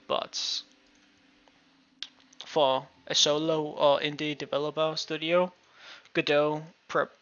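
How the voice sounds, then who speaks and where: A Danish man who is not a native English speaker, streaming casual game-dev commentary in a halting way full of restarts. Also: mid-range voice, creaky, fairly bright tone. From Denmark. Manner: halting, many restarts and fillers. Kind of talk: casual live-stream commentary while coding. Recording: muffled lo-fi stream audio.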